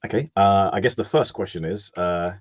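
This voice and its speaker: A man's voice: sits low, normal speed, dull audio. A man hosting a live stream interview, asking rambling friendly questions with lots of fillers.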